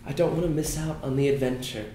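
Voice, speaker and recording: An actor playing an earnest, ailing brother who pleads urgently, at a screenplay table read. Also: male voice, mid-range voice, quick pace, dark tone, lightly acted. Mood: urgent pleading. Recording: echoey room, faint noise.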